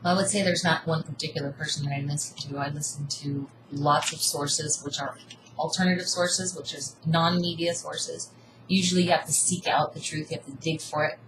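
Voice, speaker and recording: A woman's voice: low-pitched, steady tone, brisk. Insistent woman in an echoey room. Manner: earnest, insistent, preachy about seeking truth. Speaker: co-host debating. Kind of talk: casual argument. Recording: echoey room, faint hiss.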